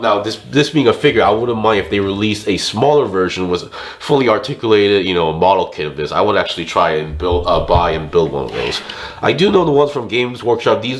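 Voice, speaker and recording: Hoarse low-voiced man reviewing a toy. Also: roomy sound. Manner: chatty, talks while handling the figure. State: hoarse, losing his voice. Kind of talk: casual hands-on product review.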